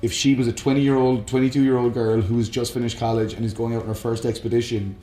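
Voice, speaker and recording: A man's voice: mid-range voice, level tone, fast, dark tone, echoey room, rough recording. A man chatting casually and enthusiastically as a podcast host, opinionated and free with swearing. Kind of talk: casual chat about games and films.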